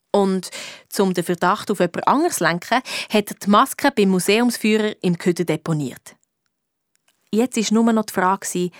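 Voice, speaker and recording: A woman's voice: medium pitch, no echo, studio quality, natural voice. A woman playing a cheeky, stubborn schoolgirl detective in a German audio drama, with a Swiss accent and colloquial storytelling.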